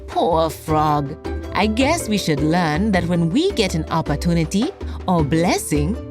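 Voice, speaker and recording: Animated, slow-paced woman storyteller. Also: mid-range voice. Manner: expressive, addresses young listeners directly. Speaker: host and storyteller of a children's podcast. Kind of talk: scripted children's storytelling.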